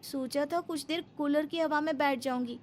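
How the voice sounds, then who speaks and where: A spoiled young wife , acted by a woman, in a family drama. Her voice is high, whiny and demanding, full of complaint. There is some background noise on the recording. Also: moderate pace, darkish tone, close to an everyday voice. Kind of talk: dramatised Hindi family story acting.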